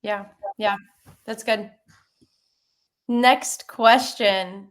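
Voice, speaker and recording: A woman hosts a webinar interview. She acknowledges her guest's answers briefly, then moves on to the next prepared question. Her voice sits at a middle pitch. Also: fairly even intonation, very slow. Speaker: webinar host.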